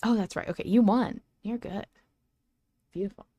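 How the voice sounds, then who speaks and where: A woman's voice: mid-range voice, normal speed, warm tone, breathy edge, no room sound. A woman hosting a casual fan podcast, talking in an effusive, gushing way. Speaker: podcast host.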